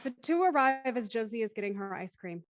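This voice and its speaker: A woman's voice: fairly high pitch, lively intonation, brisk, slight background noise. A woman reading scripted scene narration for an audio play as its narrator.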